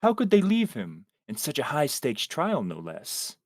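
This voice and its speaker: A man's voice: medium pitch, wildly swooping pitch, a little slow, no room sound, studio quality. A man reading a piece of fan fiction aloud to friends on a livestream, theatrically, now and then stumbling over words.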